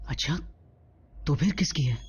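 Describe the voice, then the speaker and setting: Husky, unsure teenage boy. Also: fairly high pitch, lively intonation, moderate pace, darkish tone. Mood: puzzled. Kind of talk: dubbed animated series acting. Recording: slightly dull lo-fi audio.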